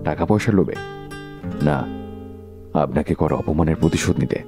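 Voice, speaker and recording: A man playing a sharp detective in a Bengali audio drama, speaking with rhetorical, theatrical questioning. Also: a bass voice, moderate pace, over music. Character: sharp, theatrical male detective unmasking a killer.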